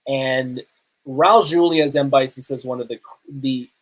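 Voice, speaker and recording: A man hosting a podcast, reviewing movies casually in an emphatic, halting way with pauses for effect. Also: medium pitch, steady tone, rough recording. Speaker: podcast host.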